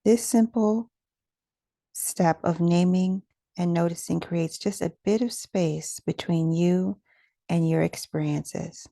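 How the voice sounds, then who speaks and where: Woman doing a guided meditation, soft gentle pacing w pauses, very mellow tone, dry close sound. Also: lowish voice, creaky, no echo. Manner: gentle, soothing, measured delivery with pauses.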